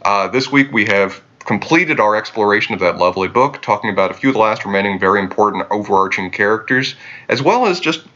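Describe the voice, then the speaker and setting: A man's voice: fairly low pitch, expressive, quick pace, sharp tone, lo-fi sound. A man co-hosting a podcast in casual chat, wordy with dry, wry humor. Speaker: podcast co-host.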